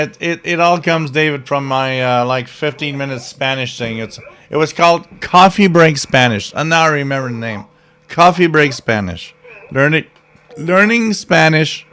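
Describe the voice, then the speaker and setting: A man's voice: medium pitch, a bit bright, clear, resonant tone. A man co-hosting a live radio stream, chatting casually and rambling through stories with lots of fillers and restarts, laughing at himself. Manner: laughs at his own stories.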